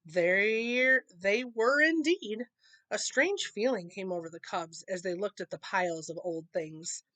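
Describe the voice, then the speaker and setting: A woman reading a children's picture book aloud in an expressive storytelling manner. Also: fairly high pitch, no room sound. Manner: expressive reading, acting out dialogue.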